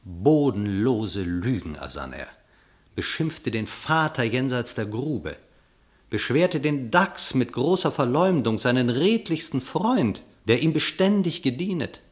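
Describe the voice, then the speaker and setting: A man narrating a classic verse radio drama in a measured, literary storytelling manner. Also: medium pitch, very animated.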